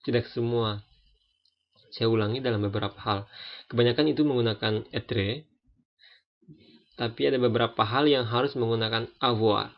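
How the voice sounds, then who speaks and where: A man in his twenties giving a relaxed, hesitant French lesson, with many fillers and restarts. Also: mid-range voice, moderate pace, pauses often. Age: 20s. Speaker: French language teacher. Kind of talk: casual spoken lesson. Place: France. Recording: dull lo-fi recording.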